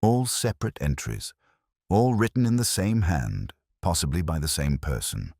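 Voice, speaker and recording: A man's voice: deep voice, expressive, normal speed, creaky, darkish tone, dry recording, clean studio sound. A man reading scripted narration of a detective story as an audiobook narrator.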